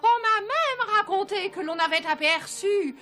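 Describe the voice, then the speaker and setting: Jealous, scheming court storyteller, piercingly bright and slow, theatrical, for an animated fairy tale. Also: female voice, very high voice, soft background music, full character voice. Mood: sly storytelling. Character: scheming jealous woman at court, spinning tales for the tsar.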